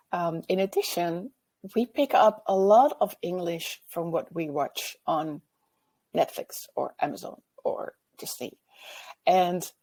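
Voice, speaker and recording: A woman speaks earnestly on a discussion panel, slow and deliberate. Her voice is husky and pitched low for a woman. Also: darkish tone. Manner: earnest, stumbles on words.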